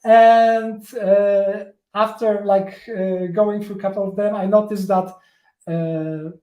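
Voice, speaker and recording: Polish-accented male tech presenter, slow and halting, filler-heavy delivery, mellow voice, roomy echo. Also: sits high, little pitch movement. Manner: halting, many fillers and restarts. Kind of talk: live coding talk.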